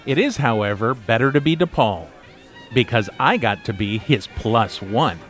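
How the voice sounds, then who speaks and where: Guy as fed up sarcastic podcast host, medium pitch, dry delivery, radio comedy w faint music. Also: animated, moderate pace, natural voice. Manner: dry, sarcastic, long-suffering. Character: exasperated, sarcastic male podcast host herding his friends. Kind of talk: comedy audio drama acting.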